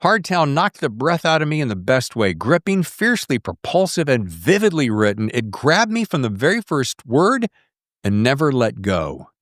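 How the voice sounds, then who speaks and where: A man's voice: mid-range voice, very animated, normal speed, fairly bright tone, no echo, studio quality. A middle-aged American man hosting a podcast in a casual, slangy, effusive way. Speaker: podcast host. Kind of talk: casual podcast interview chat.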